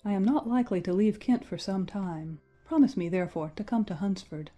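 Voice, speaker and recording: A woman playing a composed young bride in an audiobook drama, speaking to a friend in a calm, earnest, entreating way. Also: medium pitch, brisk, soft background music, close to an everyday voice. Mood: quiet entreaty.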